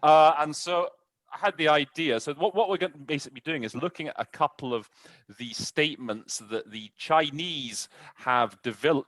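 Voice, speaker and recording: A man's voice: fairly high pitch, normal speed, a bit bright. Chatty, rambling man hosting a show. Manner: chatty, rambling, self-correcting. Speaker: host. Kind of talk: casual spoken introduction to an interpreting practice show.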